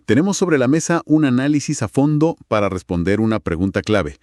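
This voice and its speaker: Man, low voice, highly animated melody, emphatic explainer style, conversational, very dry recording. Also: a little fast, no room sound. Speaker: co-host of an audio gear review discussion.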